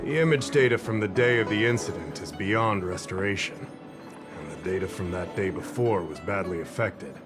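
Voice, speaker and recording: A man's voice: low-pitched, moderate pace, natural voice. A man voicing an easygoing, chatty security officer. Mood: explaining. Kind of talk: game voice acting. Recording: game cutscene with music behind.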